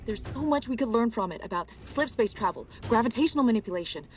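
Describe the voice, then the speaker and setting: A woman's voice: fairly high pitch, lively intonation, fast talker, close to an everyday voice. A woman playing a clever, enthusiastic science adviser in a video game, articulate and earnest as she explains things. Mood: earnest pleading. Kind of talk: video game cutscene acting. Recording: music behind the voice. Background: music.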